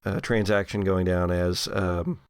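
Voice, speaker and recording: A man acts as game master, improvising narration for a horror game. His voice is fairly low and gravelly, and he takes his time with deliberate, halting phrasing. The sound is close and dry. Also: flat delivery, dry recording.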